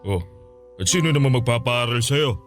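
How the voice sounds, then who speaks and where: A man playing a scornful, domineering, quick-tempered middle-aged uncle in a Filipino radio drama, scolding and sneering. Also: mid-range voice, animated, soft background music, lightly acted. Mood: condescending.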